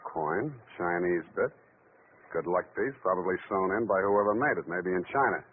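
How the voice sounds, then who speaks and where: An American actor playing a wry, hard-boiled male private detective in 1940s radio style, dry, wisecracking and unhurried. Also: low voice, natural voice. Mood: brisk, searching. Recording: tinny, noisy 1940s radio recording.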